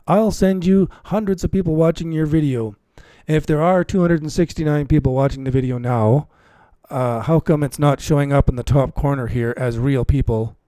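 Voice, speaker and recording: Dark-voiced man hosting a casual livestream, dry sound. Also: a little high, moderate pace, dry recording. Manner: repeats phrases for emphasis. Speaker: livestream host and cryptocurrency trading commentator. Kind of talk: casual live stream commentary, chatting with viewers.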